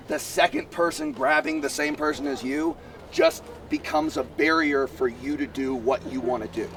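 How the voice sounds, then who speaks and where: A man explaining fighting strategy at a seminar while losing his voice, rambling in quick bursts. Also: medium pitch. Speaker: combat coach. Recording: distant mic outdoors, faint traffic.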